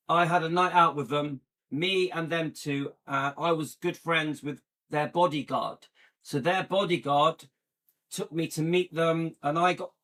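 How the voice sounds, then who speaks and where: Give me a man with a slightly high voice rambling casually to his viewers. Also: a bit bright. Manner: rambling, chatty, addresses viewers as guys. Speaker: vlog host. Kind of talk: casual livestream chat while browsing videos.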